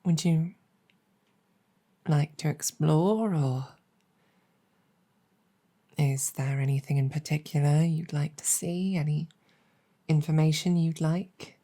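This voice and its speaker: A woman playing a courteous, wry female demon general in an improvised ASMR roleplay, speaking softly with measured, hesitant phrasing. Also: low voice, fairly even intonation. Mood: gracious, earnest.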